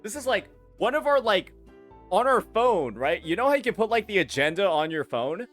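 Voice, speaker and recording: A man's voice: sits high, very animated, normal speed, fairly bright tone, soft background music. A young man doing reaction commentary in an incredulous, mocking tone. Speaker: commentary youtuber.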